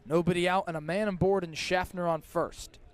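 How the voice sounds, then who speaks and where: A man's voice: high-pitched, steady tone, a little fast, clear, resonant tone. A man doing live radio baseball play-by-play outdoors at a ballpark, in clipped, descriptive calls that rise with the action. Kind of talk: live radio sports commentary.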